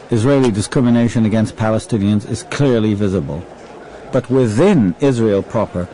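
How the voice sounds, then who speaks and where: Low, mellow documentary narrator. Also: male voice, poor audio. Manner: calm, factual delivery. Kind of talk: scripted narration. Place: voice-over.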